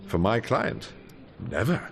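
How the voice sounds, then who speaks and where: An actor playing a wry, shrewd English defence barrister in a radio drama, with dry, measured delivery. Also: male voice, mid-range voice, sing-song, creaky, a little hiss or hum, exaggerated voice. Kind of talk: radio drama acting and first-person narration.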